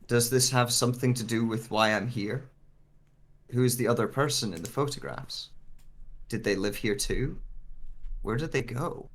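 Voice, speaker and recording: A man's voice: mid-range voice, normal speed, long pauses, fairly bright tone. An actor playing a bewildered searcher with lost memory, narrating in the first person as he reads a dramatic script. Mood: puzzled.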